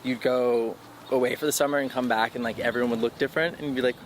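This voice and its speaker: A young man from the Los Angeles area chats in a loose, easygoing way. His voice sits at a middle pitch and he fills his sentences with "like" and small laughs. Faint street noise drifts in from behind. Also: steady tone, fast. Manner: laid-back, laughs easily, many fillers. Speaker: musician guest. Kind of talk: casual interview chat. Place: outdoors on a sidewalk.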